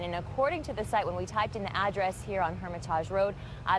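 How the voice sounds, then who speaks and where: A woman's voice: mid-range voice, a bit bright. An American woman gives a live television news report in a mid-range voice. She speaks as a field reporter outdoors, with faint street noise behind her. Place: outdoors on a city street by a demolition site.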